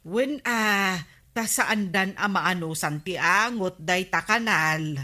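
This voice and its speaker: A woman's voice: mid-range voice, slow, pushed and bright. A woman playing the insistent, scolding wife of a village captain in a radio comedy drama, with an Ilocano accent. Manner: insistent, exasperated.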